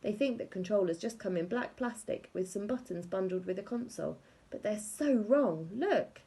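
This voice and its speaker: A woman voicing a keen, excitable gamer schoolgirl who speaks emphatically and gets exasperated, in a children's storybook reading. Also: medium pitch, moderate pace, gravelly, pitched above the performer's own voice. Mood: excited. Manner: enthusiastic, emphatic.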